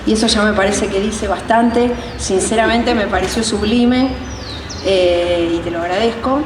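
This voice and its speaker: An Argentine woman introduces and interviews a guest at a live outdoor event. Her pitch sits in an ordinary range and her delivery is halting, searching for words. She is some way from the microphone, with echo and clear background noise. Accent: Argentine (Rioplatense). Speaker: interviewer and director of a community theatre group. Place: outdoors on a city sidewalk. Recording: noisy outdoor recording, echoey, far from mic.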